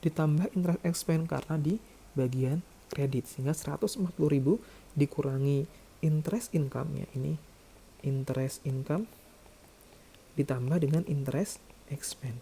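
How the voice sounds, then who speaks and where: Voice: mid-range voice, normal speed, pauses often, dark tone, a little hiss or hum. An accounting lecturer explaining calculations step by step in a didactic way. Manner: didactic, checks with "ya" and "oke".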